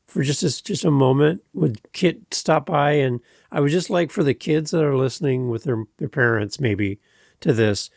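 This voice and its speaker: Effusive male podcast host, gravelly creak. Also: medium pitch, no room sound, clean studio sound. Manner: effusive, emphatic. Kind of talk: conversational interview hosting.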